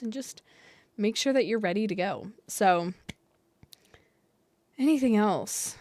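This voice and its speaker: A woman's voice: mid-range voice, dry recording. A young woman, sick with a cold and sounding nasal, talking casually to camera as an astrologer, rambling and laughing often. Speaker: astrologer and channel host.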